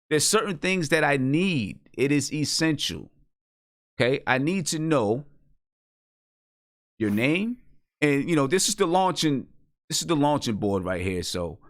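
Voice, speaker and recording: A man's voice: medium pitch, fairly bright tone, no room sound, studio quality. A man hosting a music review show, talking casually to artists and listeners in an instructive, insistent way and often checking they follow. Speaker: host of an independent hip hop music review show. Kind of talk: casual live radio-show talk addressed to artists and listeners.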